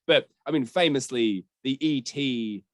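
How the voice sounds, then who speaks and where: A man's voice: mid-range voice, measured pace, a bit bright, dry recording. New man hosting, close dry sound. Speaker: podcast host. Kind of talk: casual podcast chat about games and film.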